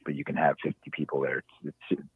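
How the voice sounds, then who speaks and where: A man's voice: flat delivery, fast talker, husky, no room sound. A man calling in to a podcast, talking casually in a rambling, hesitant way with many restarts. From Ohio. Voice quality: low voice. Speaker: caller. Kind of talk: casual phone-in chat. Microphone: phone. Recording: dry tinny phone line.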